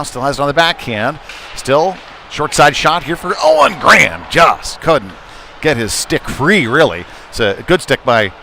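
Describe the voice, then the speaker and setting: Guy doing live hockey play by play, medium pitch, choppy chatty phrases, noisy arena behind him. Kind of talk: live ice hockey commentary. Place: indoor ice rink. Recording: noisy live arena stream, rink ambience. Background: clear rink noise and ambience.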